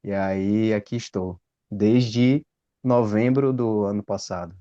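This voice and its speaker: A man's voice: lowish voice, slow pace, warm tone, lo-fi sound. A man, telling his story casually with frequent tag questions. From Salvador, Brazil. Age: 30s. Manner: rambling, frequent "né?" tags. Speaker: guest.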